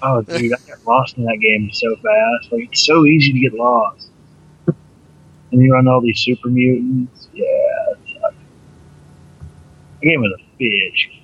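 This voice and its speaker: A man's voice: mid-range voice, animated, measured pace, long pauses, fairly bright tone, breathy edge. A man bantering on a gaming podcast, jokey and self-mocking, on a dull lo-fi mic. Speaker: podcast co-host. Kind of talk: casual gaming podcast chat.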